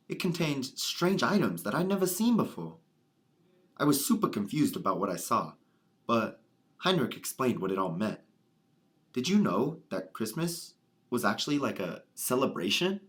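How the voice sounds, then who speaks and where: A man playing an earnest, wistful young man in a radio drama. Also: mid-range voice. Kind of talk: scripted radio drama acting.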